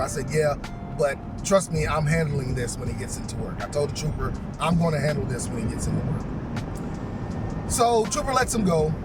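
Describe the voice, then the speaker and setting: A man telling a story casually to viewers in a conversational way, repeating phrases for emphasis. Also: on the high side. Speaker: police chief telling stories. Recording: distant mic, music playing behind. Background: clearly audible music.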